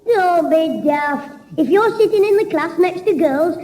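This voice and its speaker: An actor playing a cheeky, mischievous schoolboy in a 1960s radio sitcom, chirpy and giggling. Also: male voice, very high voice, lively intonation, measured pace, darkish tone, rough recording. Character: cheeky mischievous schoolboy, small, always joking. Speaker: title character of a radio comedy.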